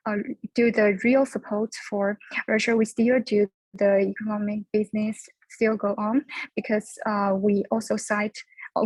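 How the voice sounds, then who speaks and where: A woman's voice: a little high, a little mellow, no echo, low-quality recording. A young adult woman from China, speaking hesitantly with many fillers as she searches for words in a class discussion. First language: Chinese. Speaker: student.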